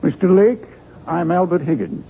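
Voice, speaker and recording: Polite, gravelly, high-voiced publisher. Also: male voice, lively intonation, normal speed. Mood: affable. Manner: courteous, slightly hesitant. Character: polite, affable male book publisher paying a visit. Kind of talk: radio drama acting. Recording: muffled, noisy old radio broadcast.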